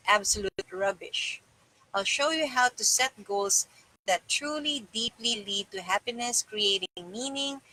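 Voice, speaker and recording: A middle-aged woman reading and presenting a self-help book to camera in a deliberate, measured way, recorded indoors on a device mic. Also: medium pitch, sharp tone, rough recording. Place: small plain-walled room indoors.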